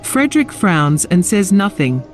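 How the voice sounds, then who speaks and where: A woman's voice: fairly low pitch, sing-song, a little slow, poor audio. A woman reading scripted story narration for an audio drama. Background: music.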